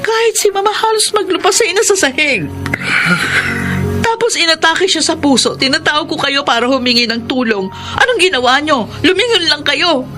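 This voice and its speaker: An actress playing a bitter, wounded Filipino daughter in a radio drama, stern, emotional and accusing. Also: female voice, high-pitched, animated, moderate pace. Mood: hurt, accusing. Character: bitter, wounded adult daughter, unforgiving mother. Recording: dramatic music under the voice.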